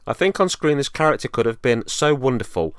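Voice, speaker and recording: A man's voice: mid-range voice, animated, fairly quick, smooth, no room sound, clean studio sound. A man presenting a semi-scripted review as host, speaking in an earnest, enthusiastic way. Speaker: host and reviewer of horror films.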